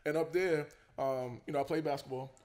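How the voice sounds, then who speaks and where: A man, a basketball coach telling his story as a guest in a casual podcast chat, relaxed and full of fillers and restarts. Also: on the high side, a little fast. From Queens, New York.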